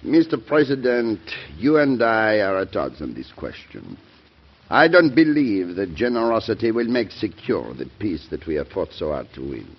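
An actor playing a courteous, cynical, hard-nosed old French statesman with measured formality in a radio drama. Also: lowish voice, big pitch swings, husky, heavily acted. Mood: skeptical, firm. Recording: muffled old radio broadcast recording.

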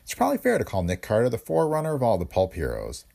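A man's voice: mid-range voice, wildly swooping pitch, quick pace, gravelly, warm tone. A man hosting a podcast, reading scripted narration about its show. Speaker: podcast host.

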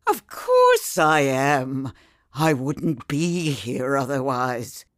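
Woman playing dry medieval witch, low voice, lively intonation, unhurried, haughty, comic fantasy podcast. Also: dry recording, heavily acted. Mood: dry, matter-of-fact. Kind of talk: scripted comic fantasy banter.

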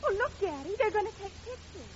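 Through heavy noise and a muffled vintage radio sound, a young American daughter. Her voice is extremely high, eager and devoted to her family. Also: animated, fast. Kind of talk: old-time radio sitcom acting.

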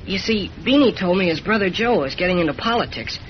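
An actor playing an eager, earnest young cub reporter in a folksy 1940s radio drama style. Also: male voice, high-pitched, expressive, fast talker. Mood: earnest, recounting. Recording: muffled, noisy old radio recording.

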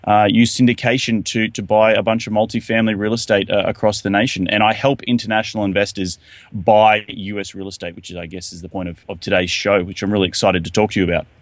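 A man with an Australian accent talks fast and eagerly, tripping into restarts as he explains his work. His voice sits low, and the recording is dry and close with no room around it. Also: flat delivery, no echo. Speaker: real estate investor guest. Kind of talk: podcast interview.

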